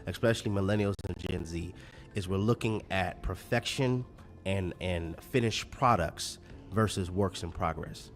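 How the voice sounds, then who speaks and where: A man's voice: deep voice, normal speed, soft background music. A man speaking as a pastor in a candid, plainspoken talk about life, repeating phrases and addressing listeners directly. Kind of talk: candid relationship talk with faith references.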